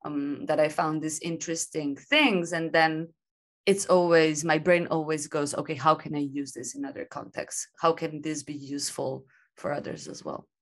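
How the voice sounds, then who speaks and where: A woman's voice: low-pitched, normal speed. A woman guest on a video call, reflecting on learning and memory, who talks in restarts and laughs easily. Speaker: guest, design thinking. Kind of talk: casual video-call conversation.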